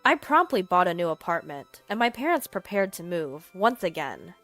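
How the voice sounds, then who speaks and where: A woman's voice: fairly low pitch, sing-song, moderate pace, a bit bright, soft background music. A woman narrating a scripted first-person story as its storyteller.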